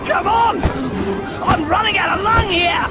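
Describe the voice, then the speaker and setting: A man's voice: very high-pitched, measured pace, a crowd in the background. A man voicing a reckless, swashbuckling cartoon weasel adventurer with a brash, manic delivery. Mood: excited, urgent. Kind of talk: animated game action acting. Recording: loud music drowning the voice.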